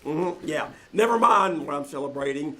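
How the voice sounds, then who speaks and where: A man playing an excitable, exasperated office boss, animated and blustering in an old-time radio comedy. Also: fairly high pitch, normal speed, poor audio. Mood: gleeful. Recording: live theater recording with faint noise.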